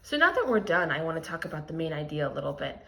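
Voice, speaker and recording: A woman's voice: sits low, big pitch swings, quick pace, creaky, a bit bright. A woman teacher reading a picture book aloud to young students and explaining it as she goes. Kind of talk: expressive read-aloud with lesson commentary.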